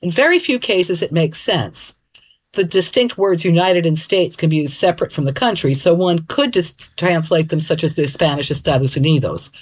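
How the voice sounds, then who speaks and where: A woman's voice: lowish voice, moderate pace, breathy edge. A woman co-hosting a casual talk show, reading listener mail and interjecting in a wry, playful way. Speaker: podcast co-host.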